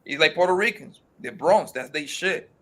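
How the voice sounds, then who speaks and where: A man with a fairly high, bright, forceful voice, talking animatedly as a podcast co-host. He swears freely and keeps throwing in "bro" as he makes his points. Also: animated, moderate pace. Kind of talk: casual conversation.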